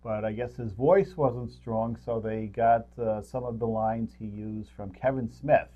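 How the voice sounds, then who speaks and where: A man's voice: fairly low pitch, unhurried, warm tone. Middle-aged man, dull lo-fi recording. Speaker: co-host of a movie review show. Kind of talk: casual chat about films.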